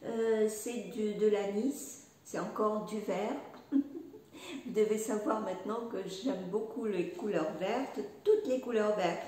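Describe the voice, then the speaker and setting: A woman talks slowly and warmly about her knitting, full of hesitations and little restarts as she rambles on. Her voice sounds far from the mic, in a very echoey room. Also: mid-range voice. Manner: hesitant, many "euh" fillers and restarts; rambling, chatty, self-deprecating. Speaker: knitting and crochet podcaster. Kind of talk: casual chat showing knitwear.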